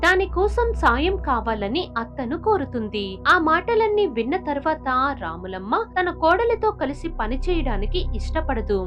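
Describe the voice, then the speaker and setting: A woman's voice: high-pitched, big pitch swings, normal speed, few pauses, a bit bright, faint music behind. A woman narrating a scripted moral story.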